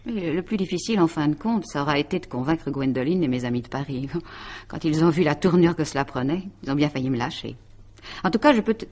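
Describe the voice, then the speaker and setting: Middle-aged female schemer, low husky voice, taunting, self-satisfied, possessive, radio mystery drama. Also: expressive, fast talker, darkish tone. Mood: pleased with herself. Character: calculating, possessive middle-aged woman, coolly triumphant schemer.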